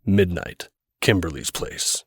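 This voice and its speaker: A man's voice: low voice, expressive, takes it slowly, breathy edge, dry recording, studio quality. A man reading scripted narration as the narrator of an audio drama. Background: music.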